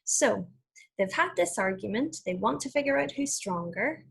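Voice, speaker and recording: Husky-voiced woman teacher reading to children. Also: a little high. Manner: didactic, expressive, deliberate. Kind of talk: storytelling with explanations and questions for young children.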